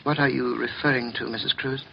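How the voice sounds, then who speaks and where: An actor playing a meek, superstitious servant in an old radio horror drama, hesitant and deferential, with evasive stammers. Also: male voice, mid-range voice, fairly even intonation, brisk, vocal fry, close to an everyday voice. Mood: evasive, flustered. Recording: muffled, noisy vintage radio recording.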